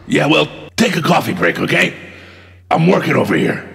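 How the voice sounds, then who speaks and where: Gravelly old zombie colonel, menacing and exasperated, wildly swooping pitch in an audio play. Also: male voice, sing-song, fairly bright tone, exaggerated voice. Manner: theatrical, menacing, drawn-out delivery. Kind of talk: comic horror audio drama acting. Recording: long reverberant hall echo.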